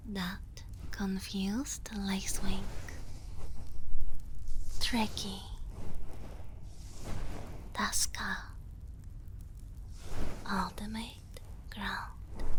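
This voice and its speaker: A woman whispers in a breathy, intimate ASMR style. Her delivery is extremely slow and soft. Noticeable noise sits behind the voice throughout the recording. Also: mid-range voice. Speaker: ASMR creator giving thanks to supporters.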